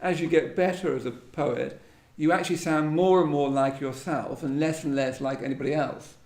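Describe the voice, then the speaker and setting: Gravelly man hosting in an echoey room. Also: on the high side, steady tone, normal speed, darkish tone. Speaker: event host and interviewer. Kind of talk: live on-stage literary interview.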